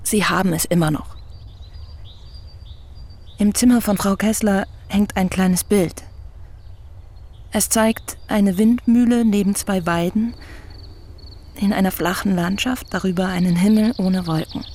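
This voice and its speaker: A young woman acting in a radio drama, playing a self-assured newspaper writer who questions calmly and persistently. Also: mid-range voice, a little slow, long pauses. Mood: calm, probing. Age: young adult.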